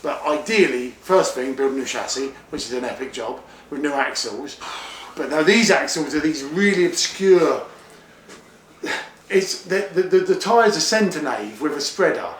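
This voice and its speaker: Higher-pitched man chatting in an echoey space. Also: expressive, moderate pace. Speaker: tour guide, fairground owner. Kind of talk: casual explanatory chat. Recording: echoey, noisy yard ambience.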